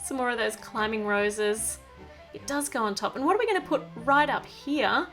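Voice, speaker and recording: A woman's voice: on the high side, expressive, moderate pace, a bit bright, faint music behind. A woman presenting a toy build in a chatty, playful, gushing way, talking straight to young viewers. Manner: talks to the viewer. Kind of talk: chatty build-along narration for children.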